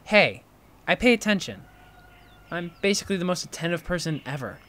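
A man playing an impulsive, curious friend in an audio drama, conversational and quick to get defensive. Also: high-pitched, slight background noise. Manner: animated protests, inquisitive, defensive. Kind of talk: naturalistic audio drama dialogue.

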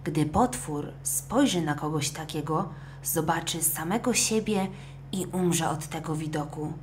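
A woman's voice: low-pitched, unhurried, a little hiss or hum. Woman doing a brave little boy from a kids' story, keen and earnest. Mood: earnest, hushed. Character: eager, brave young boy, reassuring older brother.

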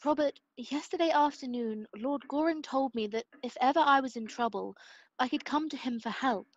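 An actress playing an earnest, devoted upper-class wife in a period radio drama, speaking with formal sincerity. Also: female voice, fairly high pitch, dull audio, natural voice.

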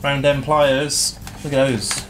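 A man's voice: medium pitch, flat delivery, takes it slowly, fairly bright tone, faint music behind, rough recording. A man in his thirties co-presenting craft products with playful jokes, filmed in a small stockroom by a camera on the table. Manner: joking, playful asides. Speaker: shop presenter. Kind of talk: casual product showcase chat.

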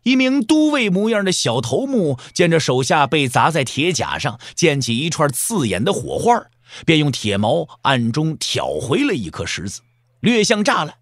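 A male narrator tells a martial-arts story in a dramatic scripted style. His voice is fairly high and highly varied in pitch. The recording is close and dry. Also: huge pitch swings, normal speed, dry recording.

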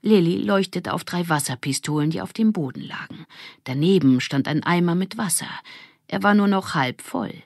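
A woman reading a children's audiobook as an expressive scripted narrator. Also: on the low side, moderate pace, vocal fry, no room sound, clean studio sound.

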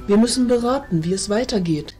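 A woman playing a gentle, wise fairy noblewoman, speaking softly and solemnly in a fantasy audio drama. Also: mid-range voice, animated, lightly acted. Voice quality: soft, gentle. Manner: measured, solemn, courteous.

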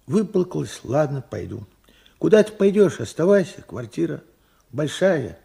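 Husky, gravelly old bereaved father. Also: a little high, big pitch swings, dark tone, heavily acted. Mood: impassioned. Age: older. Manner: halting, reflective storytelling in short phrases. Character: weary old widowed steelworker father recalling war losses. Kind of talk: Soviet radio drama acting.

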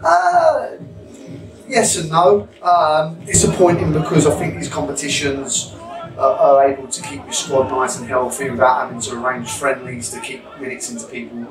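A football manager in his thirties with a London accent, talking through a defeat in a measured, reflective way with many fillers and restarts. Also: medium pitch, music in the background. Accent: London English. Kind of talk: post-match interview. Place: small changing room. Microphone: camera mic at a few metres. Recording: echoey small room, distant mic.

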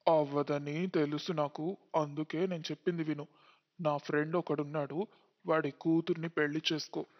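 A man's voice: a little high, flat delivery, normal speed, lightly acted. A man playing a stern older father in a cartoon story. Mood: firm. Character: stern older father pushing an arranged marriage. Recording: muffled, long hall reverb.

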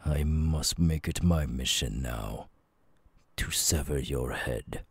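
A man playing a grim, solemn demon-hunting swordsman in an anime audio roleplay, speaking in a grave, measured way. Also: extremely low pitch, breathy edge, dry recording, full character voice. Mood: solemn, resolute.

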